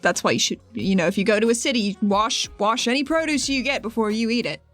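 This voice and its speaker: Higher-voiced woman in tabletop roleplay. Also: quick pace, fairly bright tone. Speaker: tabletop game player. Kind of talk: casual improvised roleplay chat. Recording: music behind the voice. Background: music.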